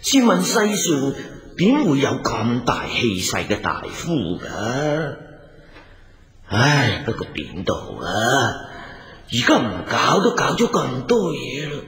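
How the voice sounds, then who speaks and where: A wealthy old father full of doubt, sighing and grumbling. His speech is slow and swoops widely up and down, ringing in a long echo, in radio drama style. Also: medium pitch, huge pitch swings, crowd noise. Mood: doubtful, grumbling. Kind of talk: Cantonese radio drama acting. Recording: echoey hall with long reverb.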